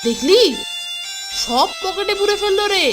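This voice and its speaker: A woman's voice: extremely high pitch, lively intonation, a little slow. A woman voicing a cheeky, grumbling young boy who works as a little salesman in a children's cartoon. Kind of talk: Bengali children's cartoon voice acting. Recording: music bed behind the voice.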